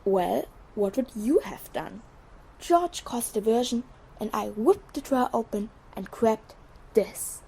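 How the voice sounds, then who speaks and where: A woman's voice: a little high, lively intonation, slow, creaky, mellow, slightly rough, full character voice, rough recording. A woman playing a mischievous teenage schoolboy in an audio drama, telling things in a playful, theatrical way. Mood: mock-innocent, boastful.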